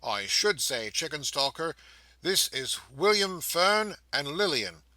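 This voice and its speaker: A man voicing a doting elderly ticket-porter who bursts with fond, excited exclamations in a storybook reading. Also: fairly high pitch, slow, no room sound, heavily acted. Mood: delighted.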